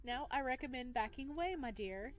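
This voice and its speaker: A woman's voice: sits high, lively intonation, brisk. A woman voicing a playful, flirtatious and possessive male dream being from a visual novel, with teasing endearments. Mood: teasing, doting. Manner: teasing, doting, theatrical endearments. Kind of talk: visual novel character read-aloud. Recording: background music behind voice.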